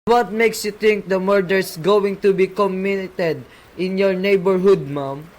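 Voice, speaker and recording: A police sergeant with a notably high voice for a man, as acted in an amateur radio drama. He is patient and matter-of-fact, brushing off worries in a placating way. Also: fairly even intonation, measured pace, a little mellow, poor audio. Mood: skeptical. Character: patient, dismissive police sergeant taking a call. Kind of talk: amateur radio play acting.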